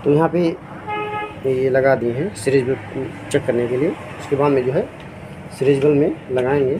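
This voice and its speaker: A man's voice: medium pitch, normal speed, background noise. A man explaining a welding machine repair step by step, speaking casually at his workbench. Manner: plain step-by-step explaining while working. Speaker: electronics repair technician. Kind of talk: casual repair tutorial narration. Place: repair workshop bench.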